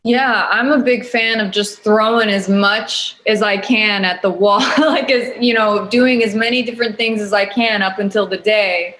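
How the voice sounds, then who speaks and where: A woman with a medium-pitched voice speaks casually as an actor on a panel. Her manner is light and playful, relaxed about how she puts things. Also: normal speed, few pauses, poor audio.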